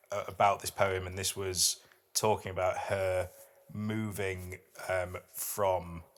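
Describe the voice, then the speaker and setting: Low-voiced man hosting a podcast. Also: fairly bright tone. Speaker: podcast host. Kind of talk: casual chat and poetry reading.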